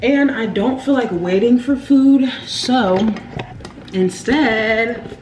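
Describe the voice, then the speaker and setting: A woman's voice: a little high, takes it slowly, darkish tone. Chatty young woman in an echoey kitchen. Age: young adult. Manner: chatty, playful, talks straight to viewers. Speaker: vlogger. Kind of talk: casual vlog chat to camera. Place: home kitchen, indoors. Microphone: camera on the counter. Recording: echoey kitchen with household noise.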